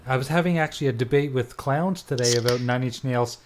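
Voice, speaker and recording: A man's voice: mid-range voice, a little fast, heavy background noise. A man in his forties chatting on a podcast, telling rambling stories with many restarts. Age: 40s. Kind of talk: casual podcast chat.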